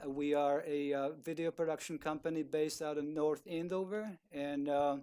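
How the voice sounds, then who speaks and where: A man with a Czech accent speaking as a guest on a video call, polite and halting, with many fillers and restarts. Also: a little high, fairly even intonation, warm tone, clear, resonant tone. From Czech Republic. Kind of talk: casual talk on a video call.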